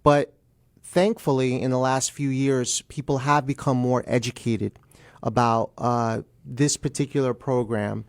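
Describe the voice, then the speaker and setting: A man's voice: mid-range voice, steady tone, a little slow, no room sound. Guy lawyer on a radio interview, explains stuff plainly in bits, very dry close sound. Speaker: guest attorney.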